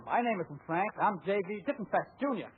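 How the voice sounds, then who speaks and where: Casting: wisecracking, absurd bedridden patient, notably high voice, vintage radio comedy, narrow tinny broadcast. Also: male voice, animated, brisk. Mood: cheeky. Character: cheeky, nonsensical male patient in next bed. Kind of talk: 1940s radio comedy acting. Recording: tinny 1940 radio broadcast, faint static.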